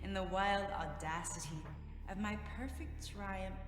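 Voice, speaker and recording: An actress playing a guilt-crazed, unhinged murderer confessing in dramatic, formal language in a gothic audio drama. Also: female voice, medium pitch, steady tone, unhurried, natural voice. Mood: smug confidence. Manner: dramatic, archaic formal diction. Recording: echoey reverberant hall with loud music behind.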